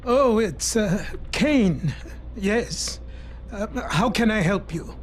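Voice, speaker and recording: An actor playing an elderly, courteous, excitable scientist in a video game, with formal, fussy speech. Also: male voice, high-pitched, slow, a little hiss or hum. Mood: polite, hesitant.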